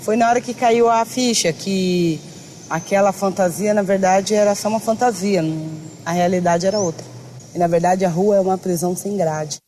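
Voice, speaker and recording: A woman giving reflective, halting interview testimony, often restarting her words. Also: medium pitch, mellow. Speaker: interviewee, author. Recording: noisy background.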